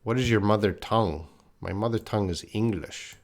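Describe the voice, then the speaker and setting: A man from Canada teaching an English grammar lesson live online, explaining patiently and reading out viewers' comments. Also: fairly low pitch. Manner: slow, patient explaining, reads chat comments aloud.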